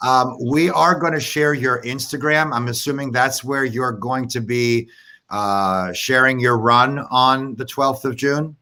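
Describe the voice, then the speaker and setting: A man's voice: mid-range voice, steady tone, a little slow, bright, forceful tone. Encouraging male interview host. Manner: encouraging, upbeat questioning. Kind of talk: live show interview.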